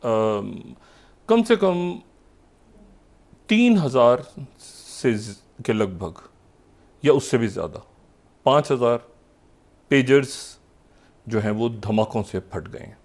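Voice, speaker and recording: A man reporting news off the cuff in halting, piecemeal phrases. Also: mid-range voice, very animated, creaky, a little mellow.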